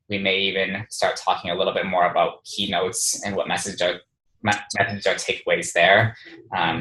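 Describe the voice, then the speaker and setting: A man's voice: low-pitched, fairly bright tone. A man informally chairing a work conference call, responding to colleagues, with echoing call audio. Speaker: meeting chair.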